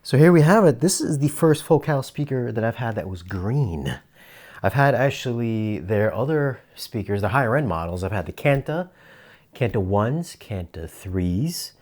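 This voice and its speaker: A man with a fairly low voice talks through a product review as a reviewer would on video. His delivery is casual and conversational, explaining details step by step in an informal way. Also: darkish tone. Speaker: audio gear reviewer.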